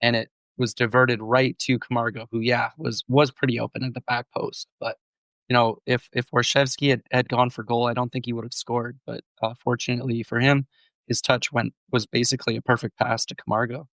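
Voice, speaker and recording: A man's voice: medium pitch, flat delivery, a bit bright, dry recording, low-quality recording. A man, co-hosting a casual sports podcast and breaking down match plays, still getting over a cold. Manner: measured, thoughtful match analysis. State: recovering from a cold, voice on the mend. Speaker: podcast co-host.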